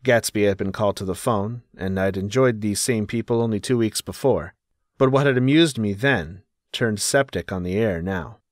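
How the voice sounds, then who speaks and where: A man performs a reflective male narrator in an audiobook drama. The voice sits low and is recorded dry. He observes people closely and tells it as literature. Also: moderate pace, dry recording, close to an everyday voice. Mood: uneasy.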